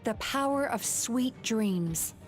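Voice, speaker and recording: A woman voicing a calm, caring young healer in a video game, with a measured, gentle delivery. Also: on the high side, over loud music. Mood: resolute. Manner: composed, measured, gentle explaining.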